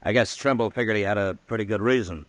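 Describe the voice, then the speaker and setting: Man playing a deep-voiced stern Western marshal. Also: fast, a bit bright, no room sound, close to an everyday voice. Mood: grim. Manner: terse, authoritative. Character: stern, weary Old West US marshal. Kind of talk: 1950s radio western acting.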